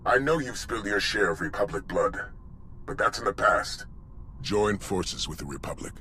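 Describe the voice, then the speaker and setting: An actor playing a proud, stubborn older supreme commander in a video game, gruff and defiant. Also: male voice, on the low side, animated, normal speed, bright, forceful tone, slight background noise. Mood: urging. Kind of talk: video game cutscene acting.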